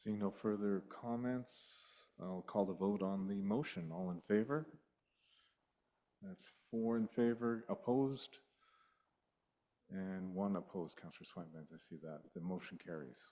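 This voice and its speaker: A mayor chairs a council meeting, calling motions and votes. He has a deep, low voice and a brisk, procedural delivery. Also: dark tone. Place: council chamber.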